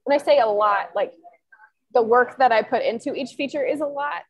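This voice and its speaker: A woman's voice: on the high side, moderate pace, slightly rough. A woman in her twenties, a sports columnist, answering interview questions in a chatty, rambling way full of fillers. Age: 20s.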